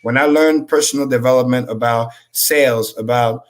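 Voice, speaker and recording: A man with a middle-pitched voice whose first language is French talks in a casual, high-energy way. He preaches self-improvement on a livestream and swears freely. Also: fairly even intonation, normal speed. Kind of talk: casual motivational talk.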